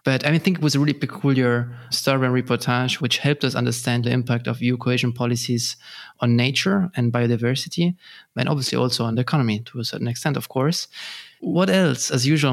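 Mid-pitched man hosting a podcast chat. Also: quick pace, gravelly. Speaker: podcast host. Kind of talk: conversational interviewing.

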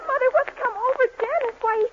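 An actress playing an excitable young woman who squeals and giggles, in a 1940s radio comedy. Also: extremely high pitch, brisk, narrow, tinny audio, crowd noise, background noise. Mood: flustered.

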